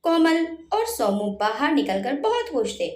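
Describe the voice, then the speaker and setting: A woman narrating a scripted family story in an expressive storytelling style. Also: high voice, a little slow. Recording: roomy echo on the voice.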